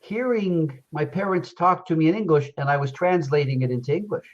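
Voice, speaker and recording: A man's voice: a little high, a little fast. A man with a slightly raised voice, coaching an English learner in easygoing chat. He keeps encouraging her and repeats key phrases for emphasis. His voice comes through dull, lo-fi video call audio. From Canada. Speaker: English tutor. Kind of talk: casual conversation lesson.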